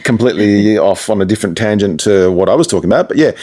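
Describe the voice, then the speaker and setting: A man's voice: lowish voice, fast, a little mellow. An Australian man, a radio presenter, chatting casually as an interview guest in a rambling, reflective, anecdotal way. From Perth, Australia.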